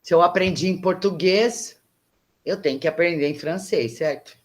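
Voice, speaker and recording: A middle-aged woman student chatting casually in class, talkative and quick to laugh at herself. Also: lowish voice.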